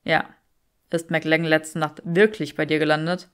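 A woman's voice: sits low, little pitch movement, normal speed, a bit bright, clear, resonant tone, pitched below the performer's own voice. Woman doing a snooty young guy in an audiobook, dry and curt, bit sardonic. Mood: curt, probing.

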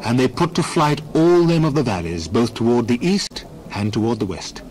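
A man narrating scripture in a measured, formal way for a dramatized reading. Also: mid-range voice, darkish tone, a little hiss or hum, poor audio.

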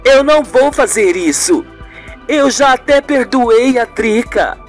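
A performer voicing a calm, determined woman in a radio soap, speaking firmly and deliberately. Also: medium pitch, faint music behind, voice pushed up. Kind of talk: radio soap opera acting.

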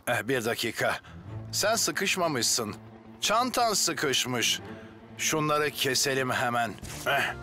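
A man playing a calm, reassuring heroic firefighter in a children's cartoon. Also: medium pitch, slow, soft background music. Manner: reassuring, encouraging.

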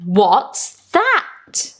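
A woman reading a children's picture book aloud in an expressive, deliberate sing-song chant with drawn-out words. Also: medium pitch, slightly rough. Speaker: storytime reader for children.

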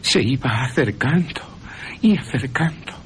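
A man's voice: medium pitch, expressive, unhurried, fairly bright tone, slight background noise, voice pushed up. A man voicing a frightened young woman who recounts a nightmare in an anguished, dramatic way. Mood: anguished, fearful.

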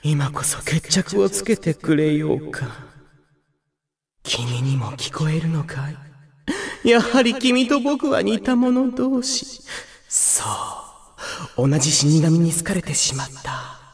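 A man's voice: fairly high pitch, animated, slow, vocal fry, exaggerated voice. A man voicing a gloomy, theatrical young demon villain in a video game, brooding and poetic, with grandiose melodrama. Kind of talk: Japanese video game character voice clips.

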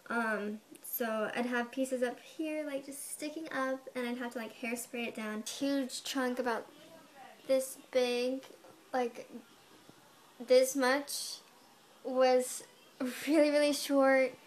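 A young woman with a high, girlish voice talks straight into a webcam in her bedroom. She sounds chatty and a little defensive, filling her sentences with "like." Her pitch sits above that of most women. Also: fairly even intonation, slow, a little hiss or hum. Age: young adult. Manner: chatty, defensive, says "like" a lot. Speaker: vlogger. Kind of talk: casual to-camera explanation. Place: bedroom, indoors. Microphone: webcam at desk.

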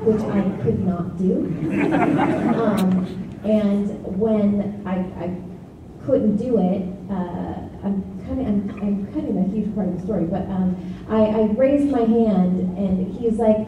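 A woman's voice: medium pitch, slow pace, noisy background. Chatty woman in an echoey hall. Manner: chatty, self-mocking anecdotes with fillers. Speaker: voice actor on convention panel. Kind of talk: convention panel storytelling. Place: convention hall panel. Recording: echoey hall from far off, muffled.

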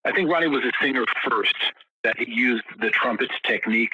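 A man's voice: mid-range voice, brisk, highs cut off, noisy background. A man guest in an interview, telling long stories with many restarts and repeated words. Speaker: guest musician. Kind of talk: casual interview storytelling.

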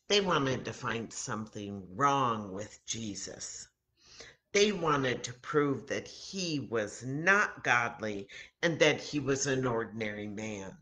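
An older American woman, in her sixties, reads a children's Bible story aloud in a dramatic, deliberate manner, acting out the dialogue. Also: very deep voice, a bit bright. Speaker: children's story time host at a church. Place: home kitchen, indoors. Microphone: webcam or laptop mic at arm's length.

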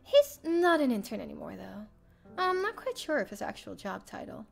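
A young woman streamer reading a visual novel aloud as expressive first-person story narration. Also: fairly high pitch, normal speed, creaky. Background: game music.

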